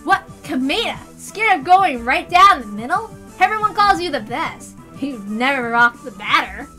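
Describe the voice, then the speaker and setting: A woman's voice: high-pitched, very animated, normal speed, sharp tone, faint music behind, exaggerated voice. A woman voicing a cocky, taunting teenage boy batter in a story reading, with sneering bravado. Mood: mocking.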